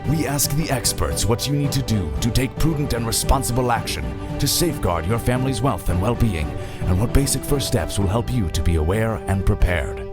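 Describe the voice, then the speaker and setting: A man reading a scripted show introduction in a smooth, formal delivery. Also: fairly low pitch, animated, a little fast. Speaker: show announcer. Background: loud music.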